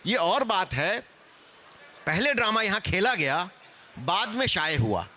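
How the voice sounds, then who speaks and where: Panelist, male. High, sharp-edged voice, scholarly explainer in live discussion. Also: big pitch swings, unhurried. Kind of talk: spoken panel discussion on theatre history.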